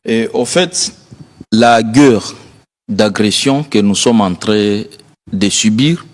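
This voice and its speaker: A man's voice: on the low side, takes it slowly, big-room sound. A young man from South Kivu, earnestly explaining his student association as a guest. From South Kivu, DR Congo. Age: young adult. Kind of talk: guest interview.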